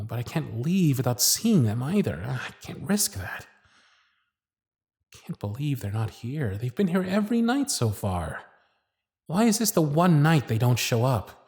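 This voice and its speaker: A man acts out a lovestruck circus performer in an intimate audio roleplay. The voice is husky and breathy, recorded close and dry. The delivery is hesitant and earnest, nervously feeling its way through each thought. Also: mid-range voice, a little mellow, dry recording, full character voice. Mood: anxious, frustrated. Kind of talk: intimate ASMR roleplay acting.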